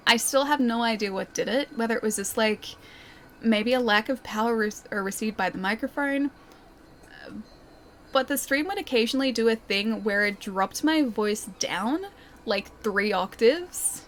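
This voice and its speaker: A fairly high-voiced woman streams a game and chats casually, reading viewer comments aloud and answering them. She giggles often and fills her talk with "like" and "dude". A faint background noise sits under her voice. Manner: chatty and giggly, with many fillers like "like" and "dude". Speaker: livestreamer.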